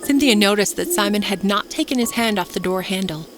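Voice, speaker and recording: A woman's voice: medium pitch, brisk. A woman storyteller reading a scripted horror tale for a podcast. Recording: loud music under the voice.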